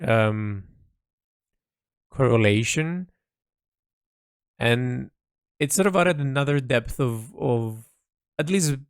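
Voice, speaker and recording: Gravelly man thinking aloud, searching for words. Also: mid-range voice, no echo, clean studio sound. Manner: hesitant, searching for words, trailing into "sort of". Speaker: artist and writer keeping an audio log of their project. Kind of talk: reflective solo monologue, casual and unscripted.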